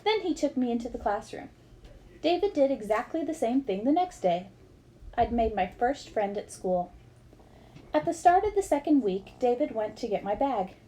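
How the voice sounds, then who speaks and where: A woman's voice: a little high, sing-song, normal speed, dark tone. A woman reading a memoir aloud as scripted storybook narration. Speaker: reader of a book aloud.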